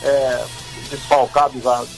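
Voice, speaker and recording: A man's voice: mid-range voice, flat delivery, unhurried, soft background music, rough recording. A man joining a sports radio show as guest commentator, talking through a basketball game in an easygoing way.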